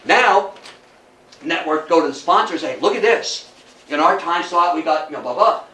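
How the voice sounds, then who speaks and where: A man's voice: on the high side, expressive, moderate pace, bright, forceful tone. An older man giving an informal history talk to an audience, folksy and chatty, putting rhetorical questions to his listeners. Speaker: lecturer. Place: indoor room before an audience. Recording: roomy, distant mic, faint noise.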